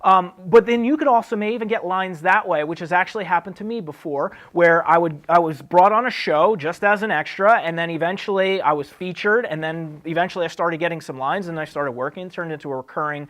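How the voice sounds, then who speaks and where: A man's voice: sits high, a little fast, few pauses, fairly bright tone. A man hosting an advice show, explaining things in a casual, conversational way. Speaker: host answering acting career questions. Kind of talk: casual advice talk to camera.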